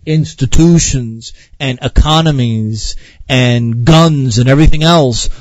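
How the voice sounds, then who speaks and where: A man's voice: mid-range voice, no echo. A man hosting a radio talk show, lecturing earnestly with repeated phrases and frequent fillers. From Ireland.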